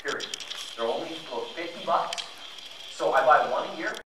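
A man speaks to an audience in an emphatic, conversational way. His slightly high voice comes from far off, swimming in heavy echo with clear noise around it. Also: expressive, moderate pace. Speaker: presenter at an outdoor gear show. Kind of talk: informal live talk to an audience. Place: large darkened presentation room, recorded from the audience. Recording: distant, very echoey hall, noisy.

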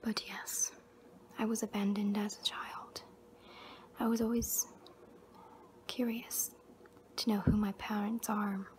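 A woman in her twenties playing a gentle young scavenger turned Jedi in a whispered ASMR roleplay, soft, breathy and soothing, close to the mic. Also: mid-range voice, flat delivery, natural voice. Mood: hushed, earnest. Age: 20s. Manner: slow, hushed, soothing, with long pauses. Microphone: close to the mouth. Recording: noisy close-up homemade recording.

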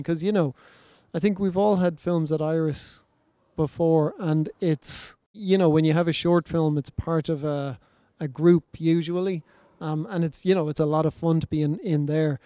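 A man in his forties with a mellow, somewhat high voice, speaking thoughtfully and rambling a little. The sound is close and dry from a handheld mic. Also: fairly even intonation, normal speed, hardly any pauses, dry recording. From Ireland. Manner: thoughtful, rambling, many fillers. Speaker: film director. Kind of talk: casual panel conversation. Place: large bright festival lobby.

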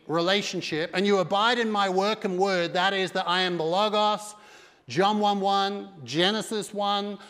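A middle-aged pastor preaches with emphatic energy, his voice pitched high for a man. He speaks into a headset mic, and the big church hall rings with a long reverb behind him. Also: a bit bright. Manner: emphatic preaching with broad hand gestures. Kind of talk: sermon. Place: large dark church stage.

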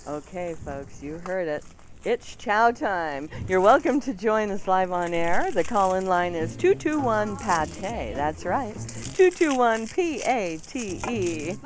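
A woman's voice: mid-range voice, big pitch swings, slow pace, slight background noise. A woman hosting a live radio show in a playful, chatty manner. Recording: music bed behind 1990s radio broadcast. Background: music.

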